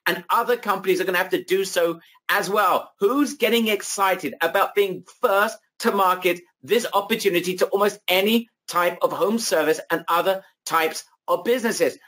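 A man with a high, bright voice runs a sales webinar, driving every point home and calling the audience "guys." His tone is husky and hoarse, as if he is losing his voice. He repeats his claims for emphasis. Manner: emphatic, repetitive hype, addresses audience as guys. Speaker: webinar presenter.

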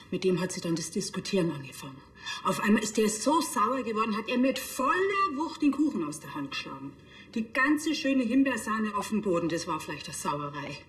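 A woman's voice: mid-range voice, close to an everyday voice. An actress playing a gossipy young bakery saleswoman with a Bavarian accent, rambling colloquially. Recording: echoey room with noise.

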